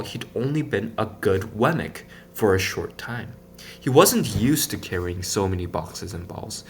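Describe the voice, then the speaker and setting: Low-voiced man reading a storybook. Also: a little hiss or hum. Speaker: storybook reader. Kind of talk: expressive read-aloud for children.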